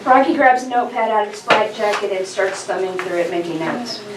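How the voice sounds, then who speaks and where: A woman's voice: medium pitch, fairly quick, noisy background. Woman narrating a script in an echoey room. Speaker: narrator reading stage directions. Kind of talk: scripted narration at an informal table read. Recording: distant echoey room mic, chatter behind.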